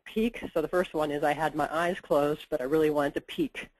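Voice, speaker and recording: A woman's voice: low-pitched, fairly even intonation, normal speed, a little mellow, dry recording, low-quality recording. A woman editor with a head cold, giving practical explanations in a webinar interview and often saying "you know". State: stuffed up with a cold. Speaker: book editor.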